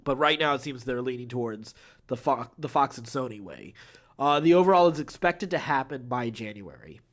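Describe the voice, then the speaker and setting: A man's voice: medium pitch, lively intonation, moderate pace, fairly bright tone. A man co-hosting a podcast, chatting casually about film news. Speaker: podcast co-host.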